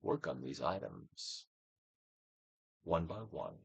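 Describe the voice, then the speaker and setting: A man reading a self-help book aloud as a dramatic, guided-meditation narration, with deliberate actorly emphasis, swelling and dropping to a hush. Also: extremely low pitch, animated, creaky, husky, no echo. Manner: theatrical, deliberate actorly delivery with emphatic swells and hushed drops.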